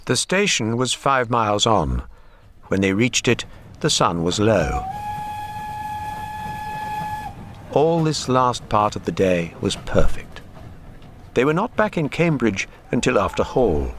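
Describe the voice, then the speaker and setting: A man narrating a literary radio drama in a measured, wry way. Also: lowish voice, big pitch swings, noisy background. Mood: reflective.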